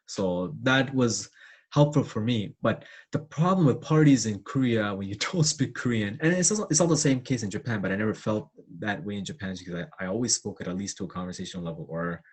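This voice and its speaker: A man's voice: fairly low pitch, lively intonation, a little fast, slightly rough. A young man talking casually with many fillers and restarts, sharing his experiences as co-host. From Canada. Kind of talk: casual discussion.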